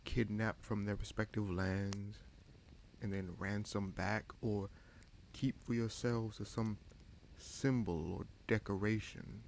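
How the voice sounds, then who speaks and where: A man playing a scheming sorcerer prince in a fantasy audio roleplay, smug and coolly menacing, with a gravelly voice and slow, deliberate, taunting delivery. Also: lowish voice, fairly even intonation, darkish tone, slight background noise, poor audio. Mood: cold accusing. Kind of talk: fantasy audio roleplay, dramatic monologue addressed to listener.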